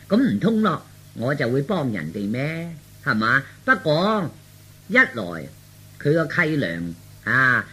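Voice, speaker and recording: A man's voice: fairly high pitch, pushed and bright, husky, full character voice. A man voicing a meddling middle-aged aunt in Cantonese radio storytelling, earnest, insistent and colloquial. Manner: insistent, colloquial, full of rhetorical tags.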